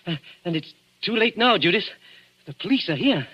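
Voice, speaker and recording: A frightened young man in a vintage radio thriller, desperate to cover his tracks. His voice sits fairly high and swings widely as he stammers urgently. The recording is old and muffled. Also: animated, moderate pace, husky, dry recording. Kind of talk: 1940s radio drama acting.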